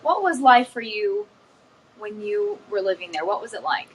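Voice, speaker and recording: A woman's voice: mid-range voice, big pitch swings, normal speed, creaky, slight background noise, low-quality recording. A woman TV news reporter interviewing a guest, asking questions in a conversational, curious way.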